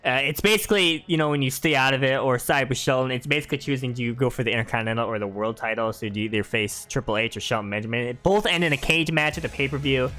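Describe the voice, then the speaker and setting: A man's voice: mid-range voice, quick pace, few pauses, bright, forceful tone. A man giving casual, chatty, explanatory commentary over a video game walkthrough.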